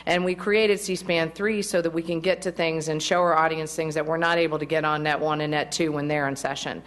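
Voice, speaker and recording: A woman's voice: sits low, steady tone, a little fast. A woman representing a broadcast network, giving friendly public remarks at a council meeting through a podium microphone and laughing easily. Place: council chamber.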